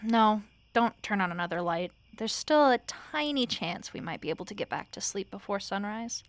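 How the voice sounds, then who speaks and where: A woman playing a tired, wry, sleepless roommate in a soft-spoken audio roleplay, talking casually and haltingly with fond, dry teasing. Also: mid-range voice, expressive, lightly acted. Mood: weary, subdued. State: sleepy, weary.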